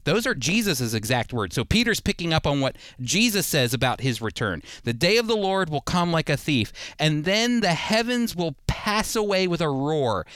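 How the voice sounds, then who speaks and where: A man's voice: a little high, fairly bright tone, smooth, no room sound, clean studio sound. A man giving prepared sermon-style Bible teaching, speaking emphatically as he explains and reads scripture. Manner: emphatic, with rhetorical questions and slowed scripture readings. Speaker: Bible teacher and program host.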